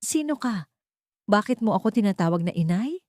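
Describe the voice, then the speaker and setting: A woman's voice: mid-range voice, animated, fairly quick, darkish tone, dry recording, pitched above the performer's own voice, natural voice. A woman narrator performs a terrified horror heroine. Her voice lifts well above a narrating tone as she pleads fearfully, in a dry, close sound. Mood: frightened denial. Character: frightened childless woman denying motherhood, horror story heroine.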